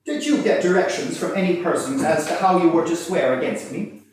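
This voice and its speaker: Echoey, high-pitched defiant Irish convict played by a man. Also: brisk, darkish tone, natural voice. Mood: cutting, indignant. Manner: declamatory, cutting, mock-formal. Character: defiant, witty Irish convict poet on trial. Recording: distant, very echoey room.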